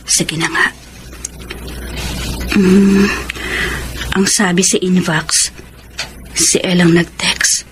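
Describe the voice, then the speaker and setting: An actress playing a gentle, troubled and suspicious wife in a Filipino radio drama. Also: female voice, fairly low pitch, level tone, very slow, long pauses, noisy background. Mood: curious, suspicious.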